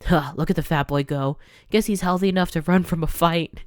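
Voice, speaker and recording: A woman's voice: lowish voice, fairly quick, no echo, studio quality, natural voice. A woman voicing a small, tough old grandmother who speaks bluntly and threatens bullies in folksy talk. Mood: mocking. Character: fierce gravel-tongued old grandmother, small but tough.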